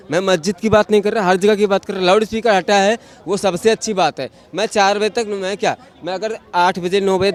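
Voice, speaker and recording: High-voiced, insistent plain-spoken man. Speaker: working man interviewed on the street. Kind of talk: casual street interview.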